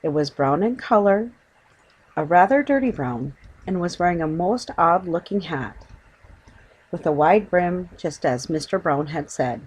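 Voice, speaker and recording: A woman's voice: lowish voice, animated, unhurried, darkish tone, breathy edge, low-quality recording. A woman reading a children's story aloud as scripted audiobook narration.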